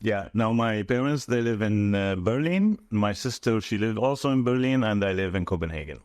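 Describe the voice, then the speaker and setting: A man from the Middle East, chatting casually as a guest in halting English. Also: fairly low pitch, lively intonation, a little mellow, no room sound. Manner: halting non-native English with frequent "you know". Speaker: interview guest. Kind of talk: casual chat. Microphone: mobile device.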